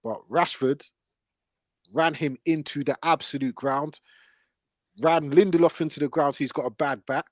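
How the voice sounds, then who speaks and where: A man's voice: on the high side, fairly even intonation, normal speed, pauses often, dry recording, studio quality. A man hosting casual football debate, emphatic and slangy, repeating himself for stress. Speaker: football podcast host.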